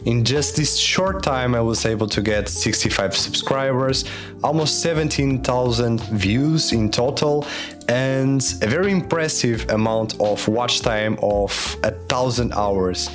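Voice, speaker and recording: A man's voice: mid-range voice, unhurried, music in the background. A young man whose first language is Portuguese, talking to camera in a careful, earnest way with frequent restarts. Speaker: YouTube creator. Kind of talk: casual explanatory talk to camera.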